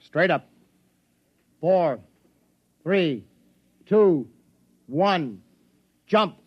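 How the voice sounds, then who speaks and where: A grave, dry starship captain. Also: male voice, on the high side, expressive, very slow. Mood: commanding. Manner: commanding, dryly sardonic. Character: grave, weary, wry male starship captain. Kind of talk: 1950s radio drama acting. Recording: dull, noisy vintage radio recording.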